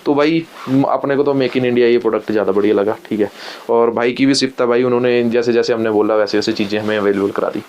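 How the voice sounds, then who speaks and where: A man's voice: medium pitch, steady tone, fast, darkish tone, slight background noise. A man explaining things informally in a vlog chat, in a hands-on way, often checking that the listener follows. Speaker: walkie-talkie user explaining gear to the host.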